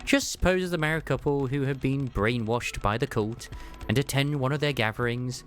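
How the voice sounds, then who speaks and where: A man voicing a brisk, businesslike female agent explaining a mission in a story game. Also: mid-range voice, fairly bright tone, close to an everyday voice. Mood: brisk, serious. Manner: matter-of-fact, explanatory, businesslike. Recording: music behind the voice.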